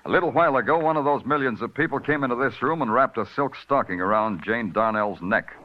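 A man's voice: mid-range voice, quick pace, close to an everyday voice. An actor playing a terse, hard-bitten male police detective who also narrates in a brooding, poetic way, in 1940s radio acting. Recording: dull old radio broadcast with music.

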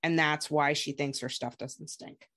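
A woman's voice: low-pitched, fairly even intonation, measured pace, a bit bright. A woman hosting a podcast, chatting casually and gossipily as she recaps reality television. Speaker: podcast host.

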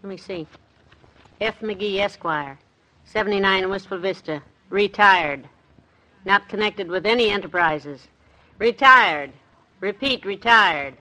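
A sensible American housewife in a 1950s radio comedy. Her voice is bright and mid-pitched, with a patient, wry way of talking. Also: takes it slowly, pauses often, poor audio.

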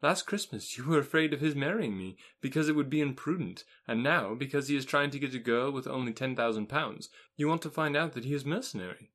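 A man's voice: mid-range voice, a bit bright, lightly acted. A man voicing a witty spirited young lady. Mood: arch. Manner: brisk and ironic, turning rapturous. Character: witty spirited young woman, quick and teasing.

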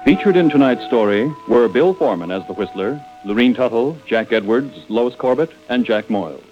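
A man's voice: medium pitch, expressive, moderate pace, music in the background. An American man announcing formally from a script in 1940s radio style, on a muffled old recording.

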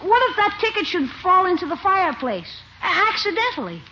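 A woman's voice: very high voice, sing-song, a little fast, sharp tone, full character voice. A woman voicing a brash, wisecracking young nephew in a radio comedy. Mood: scheming. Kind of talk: 1950s radio situation comedy acting. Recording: old muffled radio recording, faint hiss.

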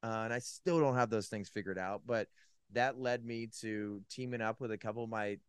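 A man with a lowish, smooth and resonant voice, recorded close and dry with no room sound. He tells his personal story in a loose, casual way, full of "like" and restarts. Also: steady tone, moderate pace, no room sound. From California. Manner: loose and rambling, many likes and restarts. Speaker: podcast guest.

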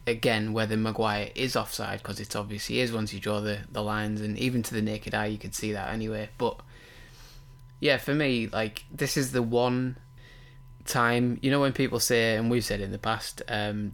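A man's voice: on the low side, flat delivery, moderate pace, fairly bright tone. A man co-hosting a podcast, casually giving his views on football. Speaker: podcast co-host, football pundit.

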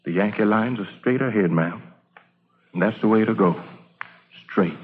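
Actor as low-voiced humble Southern man, old radio. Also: moderate pace, narrow, tinny audio, lightly acted. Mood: earnest, grateful. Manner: plain-spoken, earnest. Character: humble, grateful formerly enslaved man, a soldier's companion. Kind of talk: old-time radio drama acting. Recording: thin noisy vintage radio recording.

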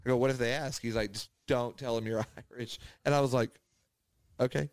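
A man tells a story in a loose, casual chat, laughing often and slipping into the voices of the people he quotes. His pitch sits in the ordinary middle range. The sound is dry and close. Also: steady tone, brisk, no echo. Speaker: podcast co-host.

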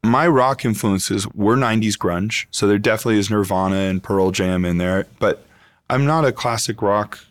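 A man with a gritty baritone voice, sitting a little low in pitch. He sings and writes songs for a band. He talks about the band's music in a passionate, thoughtful way. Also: moderate pace. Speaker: band singer and songwriter. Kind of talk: interview answer.

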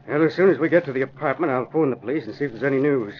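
A man's voice: mid-range voice, racing, natural voice. An actor playing a worried but steadfast older father in 1940s radio drama style. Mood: worried, firm. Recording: muffled, hissy old radio recording.

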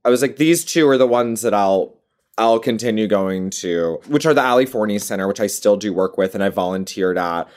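A woman's voice: rumbling low, lively intonation, a little fast, a little mellow. Playful woman in her twenties. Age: 20s. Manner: playful, laughs often. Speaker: guest. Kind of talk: casual interview chat.

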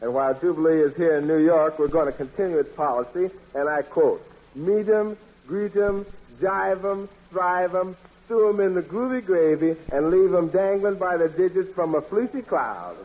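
A man's voice: sits high, moderate pace, phone-quality sound. A man emceeing a 1940s radio show in hip jive patter, heard on a thin old recording. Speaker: master of ceremonies.

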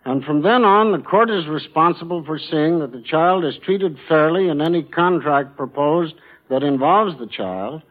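A man's voice: fairly high pitch, animated, moderate pace, narrow, tinny audio. An American man speaking formally and courteously as a guest quizmaster, in prepared talk on a 1940s radio broadcast. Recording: thin band-limited 1940s radio broadcast.

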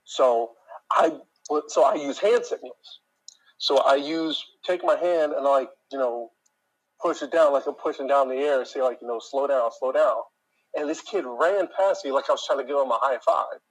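A deep-voiced man telling a work story in an exasperated, ranting way, speaking directly to his listeners. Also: normal speed, breathy edge, low-quality recording. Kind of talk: casual chat.

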